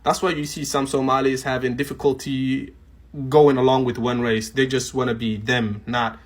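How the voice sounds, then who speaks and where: A man in a clip calmly explaining an answer to a question. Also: medium pitch, moderate pace. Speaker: commentator in a clip. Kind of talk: explaining to camera.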